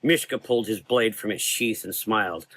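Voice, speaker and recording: Bright-voiced man narrating gothic horror. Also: lowish voice, normal speed.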